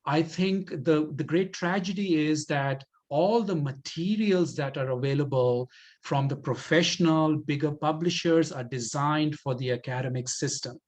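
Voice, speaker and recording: A man with a slightly high-pitched voice speaks as the presenter at an informal question-and-answer session. He phrases things deliberately and often repeats words to drive them home. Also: darkish tone. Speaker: presenter for a community language-learning project.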